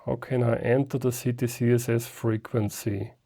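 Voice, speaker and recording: A man's voice: mid-range voice, little pitch movement, moderate pace, warm tone. A man, a German speaker, giving a casual unscripted hands-on gadget demonstration and thinking aloud step by step. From Austria. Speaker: ham radio reviewer.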